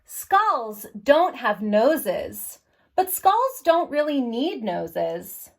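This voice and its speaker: A woman hosting story time for young children, speaking in an animated, encouraging way straight to her little listeners. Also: high-pitched, extremely slow, gravelly. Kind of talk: reading and singing aloud to young children.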